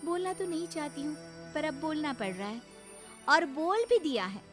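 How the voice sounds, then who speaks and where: A woman voicing a suspicious, bold young daughter-in-law in an animated story, knowing and confrontational. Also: sits high, moderate pace, over music. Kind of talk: animated Hindi story voice acting.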